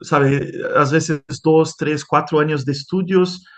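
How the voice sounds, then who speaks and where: A Brazilian man whose native tongue is Portuguese talks through an interview in Spanish, stopping, restarting and filling gaps as he searches for words. His voice sits a bit high for a man. The sound comes over a video call. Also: fairly even intonation, slow. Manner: hesitant, many restarts and fillers. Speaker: interviewed scientist. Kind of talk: conversational interview.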